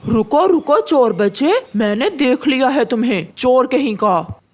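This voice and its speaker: A woman's voice: sits high, lively intonation, a little slow. A woman voicing a stern adult male pigeon shopkeeper in a children's cartoon, curt and accusing. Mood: scolding.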